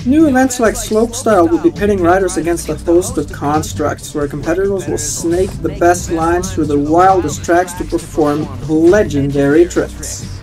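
Young man, upbeat mock DJ read on a headset, slightly high voice, faint music behind. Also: normal speed, few pauses, rough recording. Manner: upbeat announcer delivery, playful. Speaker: streamer. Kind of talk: mock radio DJ announcing. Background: faint game music.

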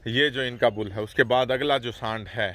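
Forceful-voiced man touring a cattle farm. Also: mid-range voice, normal speed. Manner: steady explanatory, frequent "haan haan" backchannels. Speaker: farm video host. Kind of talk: on-site explanatory commentary.

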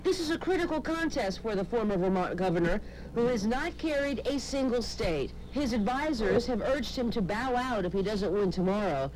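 A woman's voice: on the high side, animated, moderate pace, a little mellow. Female reporter voicing a noisy news package. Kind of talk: scripted narrated news package. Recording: clear background noise, control-room chatter over it.

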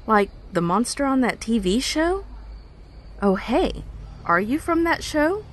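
A woman's voice: a little high, very animated, a little slow. A woman playing a friendly, skeptical park ranger in a naturalistic audio drama, casual and politely inquisitive. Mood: curious, skeptical.

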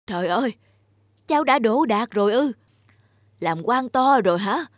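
A woman voicing a kindly, humble elderly village neighbour in an animated folk tale, gentle and exclamatory. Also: on the high side, normal speed, no echo, clean studio sound. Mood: astonished. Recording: music behind the voice.

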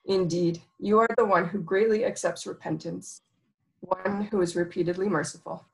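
Woman, prayer leader, reverent measured reading, gravelly low fry. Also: steady tone, low-quality recording. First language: not Arabic. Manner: slow, solemn recitation. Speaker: leads closing prayer. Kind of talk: recited prayer with English translation. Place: home office with framed art.

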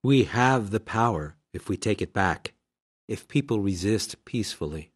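A man's voice: on the low side, animated, normal speed, breathy edge. A man playing a cool, collected sage of sixty in a radio drama, speaking in solemn declarations. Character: cool, collected sixty-year-old male doctor and sage. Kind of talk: scripted radio drama acting.

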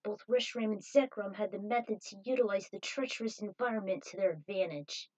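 A woman's voice: medium pitch, brisk, a little mellow, lo-fi sound. A woman reading a scripted adventure story aloud as narrator, in a dramatic manner.

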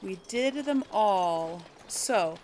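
Woman, middle-pitched, unhurried, casual gaming commentary, musing aloud, noisy background with game ambience. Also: expressive, a little mellow. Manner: musing, hesitant self-correcting talk to viewers. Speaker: gamer hosting a role-play playthrough.

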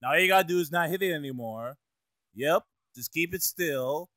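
A man's voice: a little high, lively intonation, moderate pace, sharp tone, no echo. A man voicing a streetwise, exasperated cartoon mouse, slangy, cursing and scolding, in an amateur comedic fandub. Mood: impatient, helpful.

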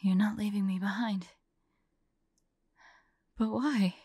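A woman playing a devoted young heroine in an audio roleplay, halting and breathy, often dropping into whispers. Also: medium pitch, fairly even intonation, dark tone, no echo. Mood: exhausted, urgent. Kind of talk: intimate acted audio roleplay.

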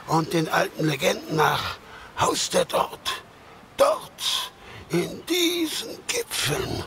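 An actor playing an old Norse warrior in an audio drama, solemn and halting, awed by old legends. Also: male voice, fairly high pitch, wildly swooping pitch, bright, forceful tone, slight background noise, full character voice. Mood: reverent warning. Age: older.

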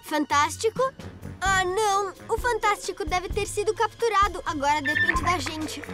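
A woman's voice: extremely high pitch, normal speed, loud music behind the voice. A woman voicing a brave, eager young boy rescue motorbike, earnest and commanding. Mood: determined.

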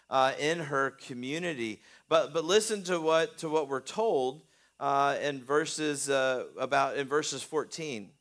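Middle-aged man, pastor, earnest sermon, fairly high voice, small church. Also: fairly bright tone. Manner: earnest, gestures broadly, many restarts and fillers. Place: small church chancel, at a lectern.

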